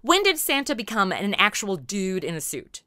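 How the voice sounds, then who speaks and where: American female podcast host, perky and slangy, very lively intonation, dry close sound. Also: medium pitch, moderate pace, dry recording. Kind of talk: scripted, playful podcast interview.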